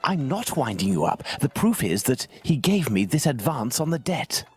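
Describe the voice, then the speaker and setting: An actor playing a brilliant, composed English gentleman detective in a video game, speaking in formal, deliberate, analytical phrases. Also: medium pitch, very animated, husky, faint music behind. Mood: coolly persuasive. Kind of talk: scripted video game acting.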